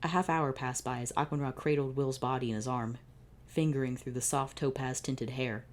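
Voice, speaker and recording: A woman's voice: rumbling low, steady tone, normal speed. A woman hosting her channel, reading fan fiction aloud with exasperated, sardonic, swearing asides. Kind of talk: comedic dramatic reading with asides.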